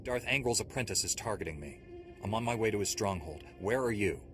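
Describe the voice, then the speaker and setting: An actor playing a grim, steely Jedi Knight in a video game, speaking tersely and bluntly. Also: male voice, lowish voice, expressive, brisk, lightly acted. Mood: grim, determined. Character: grim, steely male Jedi Knight, ruthless young hero. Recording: music under the voice.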